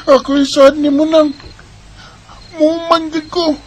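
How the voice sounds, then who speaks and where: A woman's voice: high voice, level tone, takes it slowly, darkish tone, slight background noise. A woman with a Visayan Filipino accent playing an earnest, dutiful schoolchild from a poor family in a radio drama, plaintive in manner. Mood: troubled, earnest.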